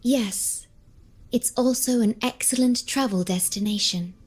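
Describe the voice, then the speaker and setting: An actress voicing a composed, formal young female advisor in a video game, explaining in a measured way. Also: a little high, slightly rough, soft background music. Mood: calm, informative. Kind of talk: scripted game dialogue.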